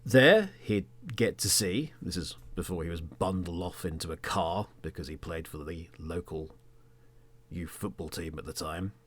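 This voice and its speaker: Theatrical, deep-voiced English man telling a story. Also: normal speed. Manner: theatrical, with emphatic words and trailing hushed phrases. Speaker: podcast host. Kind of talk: dramatic third-person storytelling monologue.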